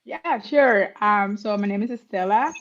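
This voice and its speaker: A woman's voice: medium pitch, slight background noise. Woman on a dull call line. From Colombia. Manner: earnest, conversational, some halting restarts. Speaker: guest software engineer. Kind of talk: interview answers.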